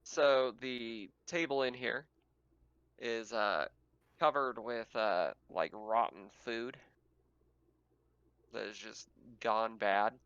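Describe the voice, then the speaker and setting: A man narrating a tabletop roleplaying game as game master, describing scenes in a casual, halting way. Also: medium pitch, a bit bright, clean tone, no echo, lo-fi sound.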